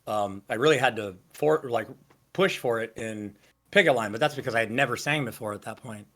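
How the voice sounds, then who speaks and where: A low-voiced man tells stories in a loose, rambling way, stacking up fillers and restarting his sentences. His tone is bright and forward, and he swears easily. He is talking over a video call. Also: fairly quick. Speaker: singer interviewee. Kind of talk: casual interview storytelling.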